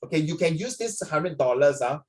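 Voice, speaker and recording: Singaporean male teacher, flu-husky voice, talky online lesson, calls on students by name. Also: a little high, expressive, a little fast. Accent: Singaporean English. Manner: chatty, mixes English and Mandarin, checks in with students by name. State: recovering from flu. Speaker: social studies teacher. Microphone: video call.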